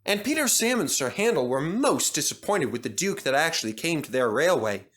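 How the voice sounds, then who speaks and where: A man voicing a friendly, knowing tank engine who tells a tale in a measured way, in a storybook reading. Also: a little high, very animated, a bit bright, pitched above the performer's own voice. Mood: matter-of-fact.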